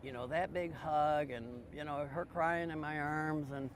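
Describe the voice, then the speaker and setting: A man's voice: on the high side, steady tone, measured pace. An older man speaking casually and from the heart as an interviewee. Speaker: interviewee, retired carpenter. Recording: clear outdoor noise, vehicles.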